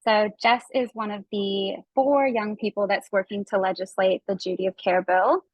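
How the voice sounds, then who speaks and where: A woman's voice: medium pitch, fairly even intonation, normal speed, no echo, poor audio. A woman with a Canadian accent hosting an online workshop over a video call, talking in a friendly, informal way. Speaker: workshop host and facilitator. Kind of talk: presenting an online workshop to participants.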